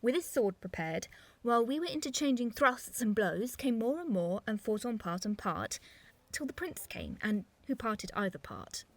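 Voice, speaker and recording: A woman's voice: on the high side, big pitch swings, moderate pace, no room sound. A woman playing an earnest, peacemaking young noble in a Shakespearean audio drama. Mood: concerned. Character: Benvolio, concerned peacemaking young noble kinsman.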